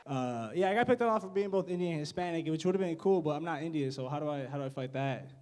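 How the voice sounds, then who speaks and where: A man's voice: fairly high pitch, animated, fast talker, darkish tone, slight background noise. A young man doing a stand-up set, awkward and self-mocking, laughing at his own jokes. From United States. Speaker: stand-up comedian.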